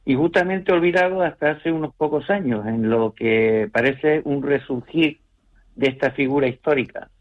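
A man, a novelist from the Canary Islands, answering radio interview questions in a measured, thoughtful way with frequent hesitations and tag questions. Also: on the high side. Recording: muffled radio broadcast.

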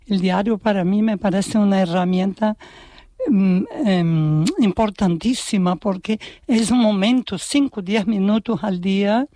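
Slow, reflective woman. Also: mid-range voice, warm tone, dry recording, studio quality. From Brazil. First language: Portuguese. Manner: slow, reflective, often ends thoughts with "¿no?". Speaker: poet and writer, guest. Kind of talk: radio interview conversation.

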